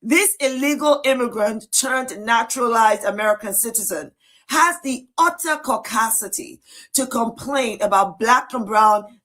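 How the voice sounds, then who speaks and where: A woman's voice: on the high side, a bit bright. A woman giving indignant, cutting political commentary in a video.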